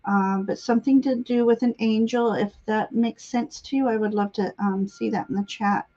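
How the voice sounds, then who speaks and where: A woman giving intuitive readings on a live stream, congested and halting, often clearing her throat. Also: fairly high pitch, steady tone, dark tone. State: congested sinuses, voice slightly giving out. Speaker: guest psychic tarot reader. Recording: dull, lo-fi stream audio.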